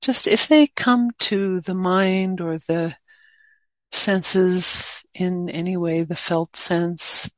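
A woman guiding a meditation as a teacher, speaking gently in short unhurried phrases with long pauses. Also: on the low side, steady tone, no echo. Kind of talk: guided meditation.

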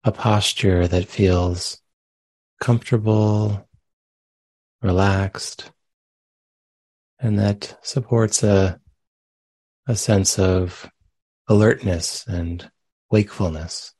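Man, meditation teacher, low mellow voice, husky softness, gentle phrasing, long pauses. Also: steady tone, no echo. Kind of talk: guided meditation. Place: meditation center.

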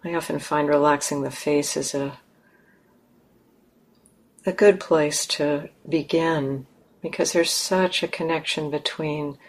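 A woman leading a guided meditation in measured, instructive phrases with long pauses. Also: sits low. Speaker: meditation teacher.

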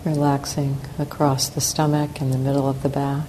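Woman, meditation teacher, very low pitch, calm spaced-out instructions, noticeable background noise. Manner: calm, unhurried, gently instructing. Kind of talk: guided meditation, slow spoken instructions with long pauses. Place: meditation center hall. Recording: clear steady background noise.

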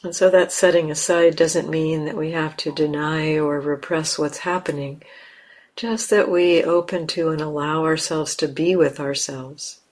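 A woman teaching guided meditation, speaking gently and unhurriedly in short phrases with long pauses. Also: deep voice, steady tone. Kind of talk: guided meditation, unscripted instruction.

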